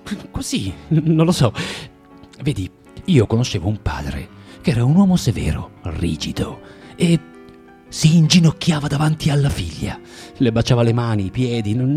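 An actor in an Italian radio drama playing a bitter, self-loathing man who lectures a young woman haltingly and theatrically, swinging from tender to vehement. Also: medium pitch, dark tone, natural voice. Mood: halting, agitated.

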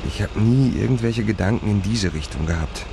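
An actor playing an easygoing, friendly writer colleague in a radio drama, casual and playful in manner. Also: male voice, on the low side, fairly quick, gravelly, background noise. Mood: awkward, gentle. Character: easygoing, friendly male soap-writing colleague.

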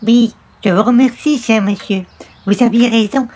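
A man's voice: extremely high pitch, steady tone, moderate pace, darkish tone, voice pushed up. A man voicing a meek, courteous, solemn religious caller in a radio comedy, formal and humble. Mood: grateful. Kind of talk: old-time radio comedy acting.

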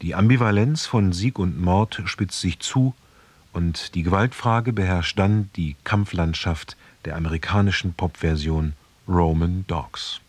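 Slow, low-pitched male radio announcer. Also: slightly rough, no room sound. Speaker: radio announcer introducing a radio play. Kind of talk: scripted narration.

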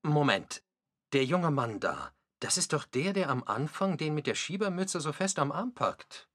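A man narrating a scripted radio documentary drama in a husky voice. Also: on the high side, very animated, unhurried, a bit bright, exaggerated voice. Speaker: narrator and commentator.

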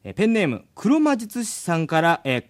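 A man co-hosting a radio show, reading out listener mail with polite phrasing and deliberate emphasis amid casual banter. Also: sits high, big pitch swings.

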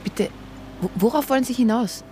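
A woman's voice: fairly high pitch, normal speed, mellow, slight background noise, close to an everyday voice. An actress playing a polite, questioning and incredulous woman radio presenter held hostage in a radio play. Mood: polite disbelief. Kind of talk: contemporary radio play acting.

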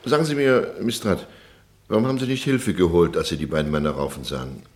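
Stern, deep-toned male judge, played by an actor. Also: quick pace, lightly acted. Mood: stern reproach. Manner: commanding, reproachful. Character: stern, authoritative male judge, His Lordship. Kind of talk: German radio courtroom drama acting.

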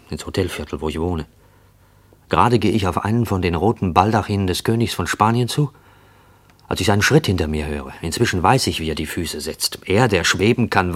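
A low male voice tells a radio play from the inside. a haunted, watchful man, brooding and reflective as he narrates his thoughts. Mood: weary, uneasy. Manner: brooding, reflective inner monologue.

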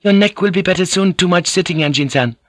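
A man's voice: sits high, quick pace, a bit bright, dry recording, clean studio sound, voice pushed up. A man voicing a young Japanese courtesan, a playful and gracious hostess with polite, coaxing questions. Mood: gracious, solicitous.

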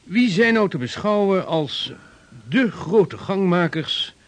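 A man's voice: high-pitched, lively intonation, slow pace. An actor playing a formal, authoritative statesman in a period radio drama. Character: stately statesman of a young republic, adult man.

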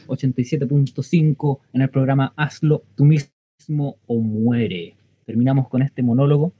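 A man's voice: medium pitch, warm tone, husky. Dull, muffled male radio host. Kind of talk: radio programme announcement.

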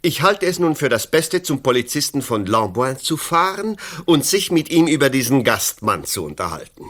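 Lively, dutiful junior detective. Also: male voice, mid-range voice, normal speed. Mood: dutiful, brisk. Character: junior police detective, eager and deferential. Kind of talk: radio play acting.

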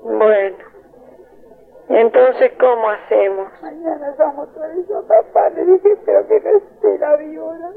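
A woman's voice: sits high, unhurried, vocal fry. An Argentine woman in an emotional private phone conversation, taped on a recorder in the 1980s. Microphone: tape recorder attached to telephone. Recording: dull lo-fi taped phone call from 1984.

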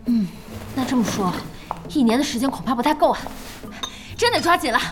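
An actress playing an impulsive, bossy young woman in charge in an audio drama, anxious and eager, with a blunt, expressive delivery. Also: sits high, a little fast. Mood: impatient. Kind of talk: Chinese audio drama acting.

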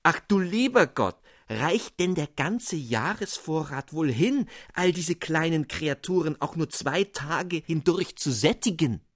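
A man putting on a shrill, indignant young maiden. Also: animated, measured pace, hardly any pauses, dry recording, studio quality. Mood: worried.

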